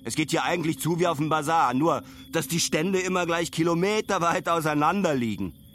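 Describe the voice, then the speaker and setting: Radio play role: reflective male traveller played by an actor, lighter-pitched, musing and colloquial, over faint ambient noise. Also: a little fast, a bit bright, close to an everyday voice. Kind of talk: first-person radio play monologue.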